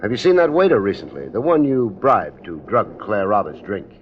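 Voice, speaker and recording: An actor playing a wry, hard-boiled private investigator in a 1950s radio drama, with a dry, laconic delivery. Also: male voice, on the low side, sing-song, moderate pace, slight background noise. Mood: cool, accusing. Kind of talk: 1950s radio detective drama acting and narration. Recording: dull 1950s radio recording, music behind.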